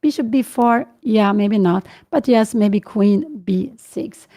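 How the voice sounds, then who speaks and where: A woman's voice: medium pitch, lively intonation, slow, warm tone. A woman in her sixties with a Swedish accent, giving live chess commentary and answering questions, thinking aloud with many fillers and self-corrections. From Sweden. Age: 60s.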